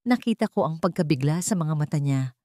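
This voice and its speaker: Female storyteller, lowish pitch, very animated melody, dramatic measured phrasing, dry close sound. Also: no echo. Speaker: narrator. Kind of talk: dramatic scripted first-person story narration.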